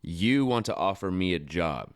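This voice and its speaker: Young rodeo rider for radio drama: proud, guarded, slightly deep, smooth voice. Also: male voice, animated, moderate pace. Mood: wary. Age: young adult. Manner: blunt, guarded. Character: proud, blunt young injured West Texas rodeo rider. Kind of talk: radio play acting.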